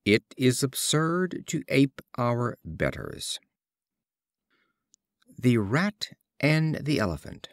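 Animated male storybook narrator. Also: medium pitch, slow, pauses often, dry recording, studio quality. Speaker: audiobook narrator. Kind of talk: scripted storybook narration of fables.

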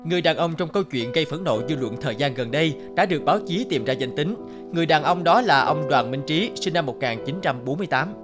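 A man's voice: fairly high pitch, expressive, fast, music in the background, close to an everyday voice. A man playing a formal cartoon news reporter with a serious, projected delivery.

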